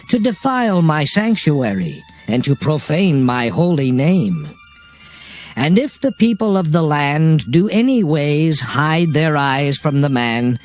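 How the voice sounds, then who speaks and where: Casting: male actor as solemn lawgiver for scripture drama, very slow, highly expressive intonation, declamatory. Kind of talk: dramatized King James scripture reading. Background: music.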